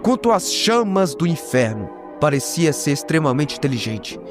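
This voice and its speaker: A man's voice: a little high, very animated, normal speed. A man with a Brazilian accent narrating a horror recap dramatically and expressively, with slangy jokey asides. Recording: voice over prominent background music. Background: music.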